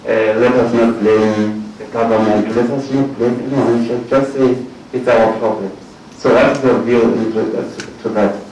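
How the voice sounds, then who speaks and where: A man's voice: medium pitch, fairly even intonation, a little mellow. South African man questioning from far off. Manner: halting, repeats words while questioning. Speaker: commission panel member. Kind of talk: formal questioning at a hearing. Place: large hearing room. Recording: echoey hall from far off, faint noise.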